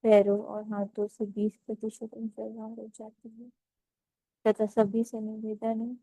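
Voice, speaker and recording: A woman leading a guided meditation as instructor, speaking in a measured, gently instructive way with pauses between phrases. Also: a little high, monotone, dry recording, rough recording.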